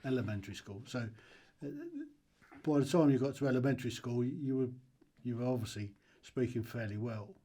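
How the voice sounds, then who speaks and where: A man hosting a podcast, asking relaxed questions with hesitant restarts. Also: medium pitch, a little fast. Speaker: podcast host. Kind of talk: casual interview.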